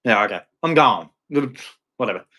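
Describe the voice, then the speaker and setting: A man's voice: lowish voice, animated, moderate pace, pushed and bright. An Australian man streaming a blunt, confrontational rant to his chat, ending with a preachy religious sign-off. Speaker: live streamer. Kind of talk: ranting monologue to chat.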